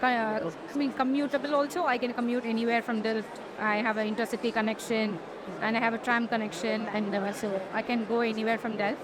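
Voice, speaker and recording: A young woman with a slightly high voice, pausing often with hesitant uhs. Noise is clearly heard behind her. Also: little pitch movement. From India. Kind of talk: casual interview answers.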